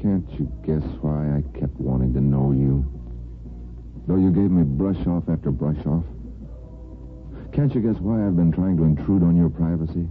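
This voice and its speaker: A man's voice: extremely low pitch, moderate pace. An American man playing an ardent, plain-spoken bachelor neighbor in an old-time radio soap opera, with earnest, folksy phrasing. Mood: ardent pleading. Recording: muffled vintage radio broadcast with music.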